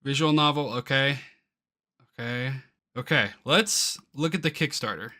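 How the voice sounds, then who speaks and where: Excitable man with a bright, forceful voice. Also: mid-range voice, measured pace. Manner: excitable, easily incredulous, exclaiming. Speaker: YouTuber reacting to a game's crowdfunding page. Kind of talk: casual reaction commentary, reading aloud from a web page.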